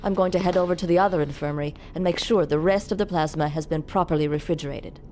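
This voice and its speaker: A woman's voice: lowish voice, fast talker, a little mellow, a little hiss or hum, natural voice. A woman voicing a cold, clinical female scientist in a game cutscene, speaking with precise detachment. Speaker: scientist doctor.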